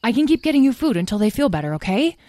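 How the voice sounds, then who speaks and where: Woman playing a young stable boy, higher voice, stammery, close dry recording. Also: big pitch swings, rapid-fire, warm tone, no room sound. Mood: gentle. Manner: tearful, stammering. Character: earnest young stable boy, kind-hearted and self-doubting. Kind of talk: storybook character voice.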